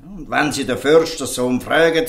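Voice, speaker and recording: A man's voice: medium pitch, moderate pace, a bit bright, natural voice. An actor playing an earnest, defiant fisherman in a Swiss German audio drama, speaking emphatically and pleadingly. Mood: calm, pointed. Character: earnest, defiant fisherman defending outcast children. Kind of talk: audio drama dialogue in dialect.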